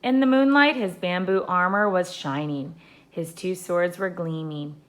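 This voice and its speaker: A woman, a teacher, reading a children's adventure story aloud with deliberate, expressive emphasis. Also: fairly low pitch.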